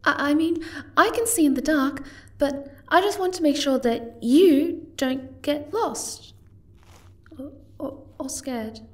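A woman voicing a gentle, timid, caring young moth girl in an ASMR roleplay, soft-spoken and hesitant. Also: high-pitched, slow. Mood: shy, tender.

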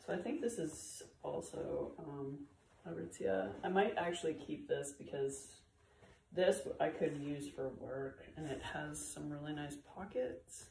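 Slow, low-voiced woman, slightly congested. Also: gravelly, a little hiss or hum. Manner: relaxed, chatty, with frequent fillers. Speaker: vlogger sorting found clothes. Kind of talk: casual chat to camera. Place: bright room with bare walls, indoors. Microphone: camera a few feet away. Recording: roomy, slightly distant camera audio.

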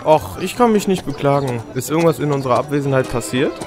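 A man's voice: medium pitch, animated, fast, over music, natural voice. A man playing an eager, action-hungry male pony in a cartoon audio drama. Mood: casual.

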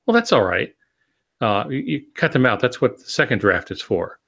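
A man in his sixties explaining things in a measured, instructive way during a discussion on a video call. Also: mid-range voice, very animated, vocal fry, a bit bright. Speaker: podcast co-host, writing mentor. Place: home office, on a video call.

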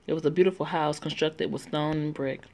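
A woman's voice: low voice, steady tone, a little fast, a little mellow. A woman reading a story game aloud, voicing every part and breaking off for joking asides. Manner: reads all parts, breaks off with joking asides. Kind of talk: casual read-aloud with asides.

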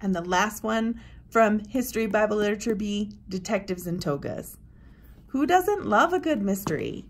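A woman, around her thirties or forties, presenting recommendations to camera with deliberate, emphatic phrasing and pauses. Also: medium pitch, slight background noise. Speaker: presenter for a homeschool curriculum blog. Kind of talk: book recommendation walk-through to camera.

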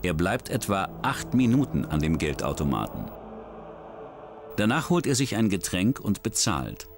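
A man giving scripted true-crime documentary narration in a measured, even way. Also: deep voice, gravelly. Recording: studio voice-over with music bed.

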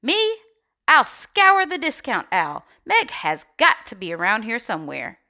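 A woman plays an anxious, bossy egg from a storybook. The voice is high and bright, with big dramatic swings as it frets and gives orders. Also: wildly swooping pitch, unhurried, full character voice. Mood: bossy, urgent. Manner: dramatic, exclaiming, fretful. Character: Shell, anxious bossy egg rallying missing friends. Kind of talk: storybook character read-aloud.

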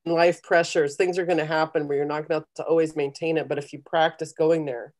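Low, mellow middle-aged woman. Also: fairly even intonation, fairly quick. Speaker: guest leading a guided meditation. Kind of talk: conversational coaching and guided meditation. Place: small home room, indoors. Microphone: computer video call.

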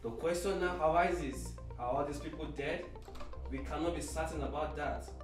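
A man playing a matter-of-fact military analyst giving a measured, explanatory briefing in a radio drama. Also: a little high, natural voice. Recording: very echoey, distant, faint music.

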